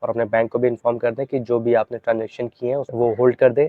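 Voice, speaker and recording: Male host for informal how-to advice, flat delivery, instructive and repetitive; dry, close sound. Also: mid-range voice, dry recording, muffled recording. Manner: repetitive, instructive, mixes English words into speech. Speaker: host of an explainer video channel. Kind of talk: informal explainer, advising viewers directly.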